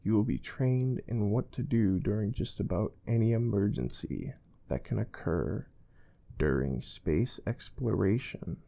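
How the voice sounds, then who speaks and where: A man playing a calm, stern space research interviewer in a soft-spoken ASMR role play, measured and formal. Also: lowish voice, gravelly, full character voice. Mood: calm, instructive.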